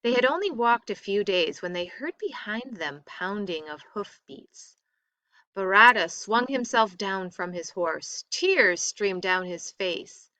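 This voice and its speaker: A woman reading a story aloud expressively, with deliberate, dramatic emphasis. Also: medium pitch, lively intonation, sharp tone, no echo.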